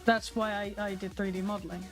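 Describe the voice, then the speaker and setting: A woman's voice: medium pitch, flat delivery, fast, soft background music. A young adult woman streaming, chatting candidly with her viewers, a little nasal from a cold. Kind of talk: casual stream chat.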